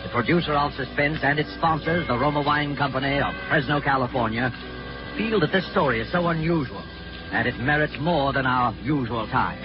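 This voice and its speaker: A man introducing a 1940s radio program as host, in a formal, measured, dramatic scripted style. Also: mid-range voice. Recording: muffled 1940s radio recording, music behind.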